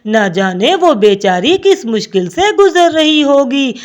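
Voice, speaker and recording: A woman's voice: high voice, very animated, a little slow. A woman voicing a principled, questioning male crow husband in a cartoon story. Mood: reproachful.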